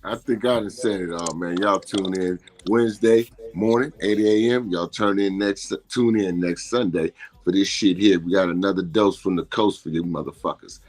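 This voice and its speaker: A man's voice: sits low, normal speed, hardly any pauses, dry recording. A man co-hosting a casual podcast, brash and trash-talking as he signs off. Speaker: podcast co-host.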